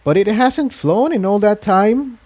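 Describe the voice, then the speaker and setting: Man voicing an earnest high-pitched young female pony. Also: lively intonation, unhurried, a little mellow. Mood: hushed wonder.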